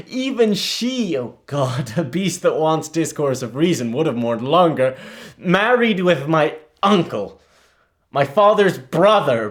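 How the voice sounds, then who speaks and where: An actor playing a grieving, bitter young prince in a theatrical monologue, brooding and halting, rising into outbursts. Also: male voice, fairly high pitch.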